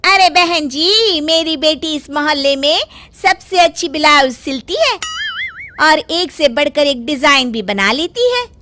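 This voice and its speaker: A woman's voice: high voice, lively intonation, a little slow, bright, forceful tone, soft background music, full character voice. A woman voicing a proud middle-aged mother boasting about her daughter in a cartoon story. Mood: boastful.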